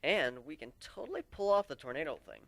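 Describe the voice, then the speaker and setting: A man narrating a dramatic first-person story in an expressive, conversational way. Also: a little high, a little fast, vocal fry, no echo.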